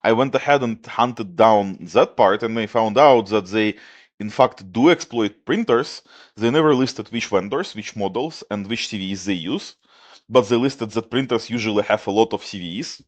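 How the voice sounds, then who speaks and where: Low-voiced man with a Russian accent. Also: normal speed. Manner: explains plainly in a steady way, with some filler "like". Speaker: guest researcher. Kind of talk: casual tech podcast chat.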